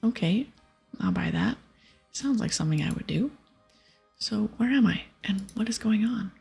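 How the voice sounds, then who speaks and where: A naive, slightly befuddled young heroine from a video game, voiced by a woman. The voice is soft and very breathy with a lot of fry, reading in ASMR style over music. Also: medium pitch, lively intonation, moderate pace, full character voice. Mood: agreeable, curious. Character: dazed, gullible young female adventurer. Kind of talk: ASMR video game dialogue read-aloud.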